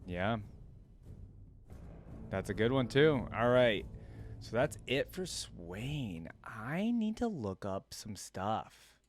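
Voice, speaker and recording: A man's voice: medium pitch, very animated, slow, long pauses, faint music behind. A man hosting a reaction video, chatting casually and thinking aloud with frequent fillers and restarts.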